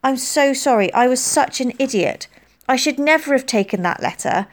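A woman's voice: on the high side, lively intonation, normal speed, pitched above the performer's own voice, close to an everyday voice. A woman voicing a remorseful schoolgirl who pleads tearfully and hurries through her apology. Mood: pleading, remorseful.

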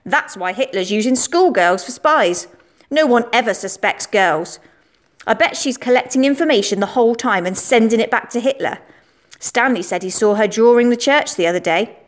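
A woman's voice: on the high side, expressive, normal speed, natural voice. A woman voicing a spiteful schoolboy who taunts and accuses a classmate. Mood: triumphant. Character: boastful spiteful schoolboy bully.

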